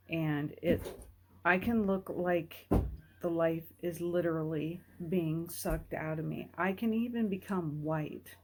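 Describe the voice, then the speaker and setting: A woman speaks to her listeners in an earnest, unhurried way, pausing between phrases as she shares her own experience. Her voice is mellow and warm, sitting a little low for a woman. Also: slight background noise, low-quality recording. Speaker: host. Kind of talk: personal talk to camera.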